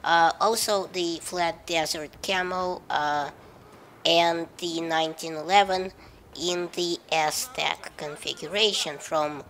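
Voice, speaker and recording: A woman's voice: fairly low pitch, steady tone, takes it slowly, a bit bright, a little hiss or hum. A woman with a head cold giving casual, rambling, wry video game commentary, with hesitations along the way.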